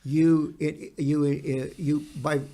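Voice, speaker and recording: A man co-hosting a podcast, talking in an earnest, halting way and repeating words for emphasis. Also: on the high side, flat delivery, mellow. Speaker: podcast co-host. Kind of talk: conversational podcast discussion.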